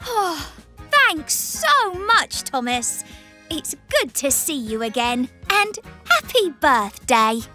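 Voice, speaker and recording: Very high, flustered girl engine. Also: slow pace, faint music behind, heavily acted. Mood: grateful. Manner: exclamatory, expressive. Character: friendly, flustered young female steam engine. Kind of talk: children's cartoon voice acting.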